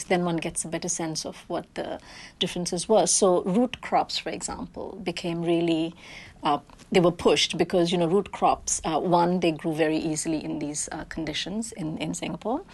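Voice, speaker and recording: A woman historian explains in an expert interview, lecturing with occasional fillers. Her voice is on the lower side for a woman, and her delivery is clear and explanatory. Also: a little mellow.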